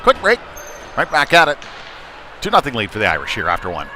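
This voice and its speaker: A man doing live play-by-play commentary of an ice hockey game, often pausing mid-phrase. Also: mid-range voice, wildly swooping pitch. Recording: clearly noisy sports venue background.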